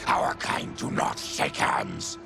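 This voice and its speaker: A man voicing a grim, disfigured, monstrous colony leader in a video game, with a gravelly rasp and slow, terse, formal speech. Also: natural voice. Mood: bitter, grave. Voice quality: gravelly, rasping. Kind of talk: video game cutscene acting. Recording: loud music under the voice. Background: loud music.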